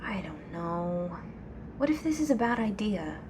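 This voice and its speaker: A woman playing a restless, anxious young woman in an ASMR audio drama, speaking softly and hesitantly, often near a whisper. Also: medium pitch, very animated, unhurried. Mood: uneasy, hesitant. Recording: clear background noise, ambient sound.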